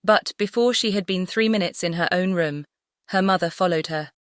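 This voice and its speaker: A woman's voice: on the low side, moderate pace, no echo, clean studio sound. A woman reading a classic novel as scripted audiobook narration.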